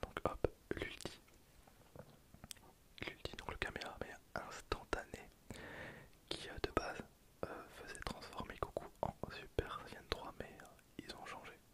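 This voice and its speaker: A man whispering casual, breathy ASMR commentary over gameplay in an informal way. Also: a little slow, long pauses. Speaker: gaming video creator.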